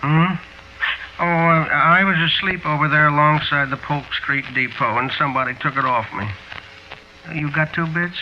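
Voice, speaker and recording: An actor playing a slow-witted older American panhandler in a 1940s radio drama, pleading and shivering, with a hesitant, vague manner. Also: male voice, on the high side, close to an everyday voice. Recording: noisy, muffled 1940s radio recording.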